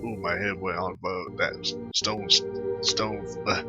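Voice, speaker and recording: Male gamer, low voice, halting casual commentary, reads choices aloud, very loud game music behind. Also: fairly even intonation. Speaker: gamer recording a playthrough.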